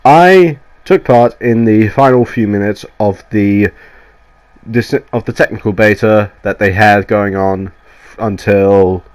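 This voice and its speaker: A man's voice: lowish voice, a little slow, darkish tone. A man with a cold, sounding nasal and coughing now and then, rambling through a casual, sweary game review voiceover. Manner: rambling, sweary, enthusiastic, restarts often. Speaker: gaming YouTuber. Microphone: voiceover mic.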